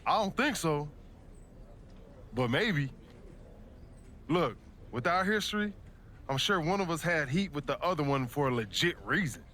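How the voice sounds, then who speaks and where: A man's voice: fairly high pitch, expressive, a little slow, long pauses, pushed and bright, a little hiss or hum. A man playing an earnest American wrestler pleading with his brother in slangy video game dialogue. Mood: conciliatory.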